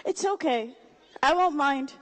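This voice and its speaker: Young woman, high voice, student speaker, public talk, rhetorical and engaging. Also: lively intonation, unhurried. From Somalia. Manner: engaging, rhetorical, asks the crowd questions. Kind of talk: public speech to an audience.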